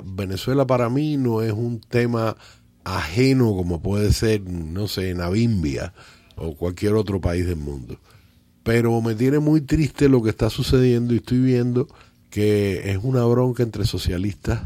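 An older man with a Cuban accent, a political analyst on a radio talk show, speaking deliberately and haltingly, repeating words as he thinks. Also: fairly low pitch, darkish tone, dry recording. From Cuba. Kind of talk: measured political discussion.

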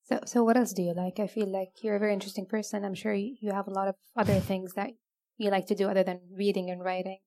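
A woman's voice: medium pitch, level tone, quick pace, mellow. A woman hosting an interview, keeping it going with short encouraging questions and frequent laughs. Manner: encouraging, brief prompts, laughs often. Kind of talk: casual interview chat.

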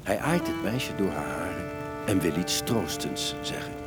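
A man's voice: low-pitched, lively intonation, measured pace. A man narrating a children's audio story in a dramatic, suspenseful, scripted style. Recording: music bed behind the narration.

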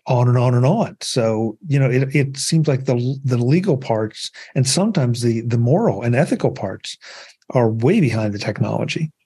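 A man's voice: medium pitch, mellow, breathy edge. A man hosting a casual panel discussion, talking in a rambling, conversational way with many fillers. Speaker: podcast host.

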